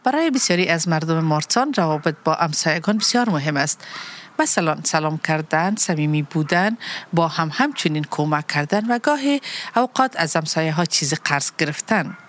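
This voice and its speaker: A woman co-hosting a community podcast, reading translations of the host's script at a brisk clip. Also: deep voice, expressive.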